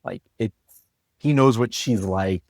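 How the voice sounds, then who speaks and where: A man's voice: lowish voice, a little slow, darkish tone, no room sound, clean studio sound. A man who writes soap opera, explaining things earnestly in a reflective, casual discussion.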